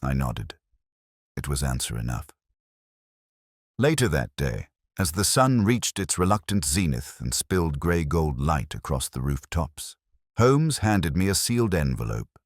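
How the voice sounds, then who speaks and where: A very low-voiced man narrates a detective tale. His delivery is measured and solemn, yet the pitch moves expressively through each phrase. The recording is close and dry. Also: sing-song, no room sound. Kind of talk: scripted audiobook narration.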